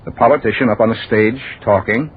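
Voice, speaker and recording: A man's voice: lowish voice, a little fast, lightly acted. An actor playing a haunted man obsessed with death, earnest and overwrought in a 1940s radio drama monologue. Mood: fervent relief. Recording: tinny 1940s radio, faint noise.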